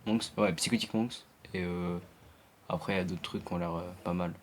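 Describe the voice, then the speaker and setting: A teenage boy from France answering interview questions softly, trailing off at the ends of his sentences. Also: deep voice, fairly even intonation, fairly quick, a little hiss or hum.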